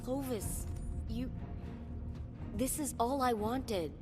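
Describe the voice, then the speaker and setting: A woman's voice: fairly high pitch, slow. A woman playing a hurt, distrustful younger woman in a game cutscene, speaking in a pointed, emotional way. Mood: wounded, uneasy. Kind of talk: dramatic scripted game cutscene acting. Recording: long reverberant hall, music behind.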